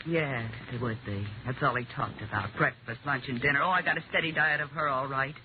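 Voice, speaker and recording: A woman's voice: very deep voice, brisk. A bitter, lonely widow in a 1950s radio drama. She talks bluntly in slang, sounding world-weary. The old recording is tinny and narrow, with faint hiss. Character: bitter, lonely, brassy newly widowed wife, former waitress.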